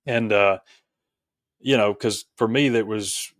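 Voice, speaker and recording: A man from rural Tennessee speaking as an interview guest, explaining things reflectively in a halting, conversational way. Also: on the low side, no echo, studio quality. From rural Tennessee, United States. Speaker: podcast guest, author.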